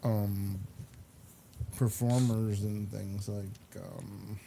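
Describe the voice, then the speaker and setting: Mellow-voiced man chatting haltingly over clips. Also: fairly low pitch, flat delivery, creaky, slight background noise. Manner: halting, rambling. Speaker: show host. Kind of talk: casual chat commenting on video clips.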